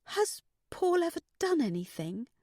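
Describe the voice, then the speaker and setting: A woman's voice: a little high, lively intonation, moderate pace, a little mellow, dry recording, clean studio sound, voice pushed up, close to an everyday voice. A woman voicing a fragile, anxious married woman in an audiobook, hesitant, emotional and vulnerable. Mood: fearful. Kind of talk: audiobook character dialogue.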